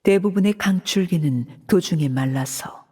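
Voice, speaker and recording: A woman voicing a cold, composed female leader in a video game, speaking in a measured, formal, controlled way. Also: fairly low pitch, mellow, husky. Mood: cool. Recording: reverberant game audio.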